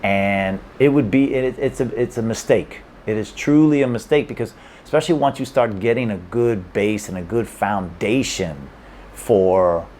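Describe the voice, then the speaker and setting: A man's voice: on the low side, moderate pace, a little mellow, a little hiss or hum. A man giving casual language-learning advice to camera, rambling and repeating himself with restarts and small laughs. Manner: rambling and repetitive, with many restarts and chuckles. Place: room in Hong Kong.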